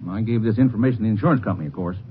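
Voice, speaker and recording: A man playing an indignant, fast-talking traveler. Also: on the low side, lively intonation, soft background music, natural voice. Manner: indignant, folksy and quick-talking. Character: irate traveling businessman, middle-aged. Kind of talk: 1950s radio drama acting. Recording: muffled old radio broadcast, faint hiss.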